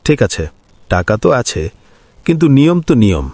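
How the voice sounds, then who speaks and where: A man's voice: medium pitch, very animated, moderate pace, a little mellow, no room sound. A greedy village moneylender in a cartoon, mocking and bossy. His swoops up and down. The sound is dry and close. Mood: scornful. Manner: mocking, domineering.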